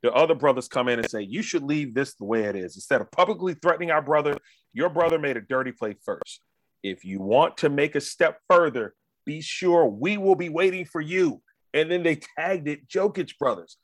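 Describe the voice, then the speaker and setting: An American man hosting a casual sports talk show, telling stories in an animated, slangy way with frequent check-ins to the listener. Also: a little high, moderate pace, no room sound, poor audio. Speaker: sports talk podcast host.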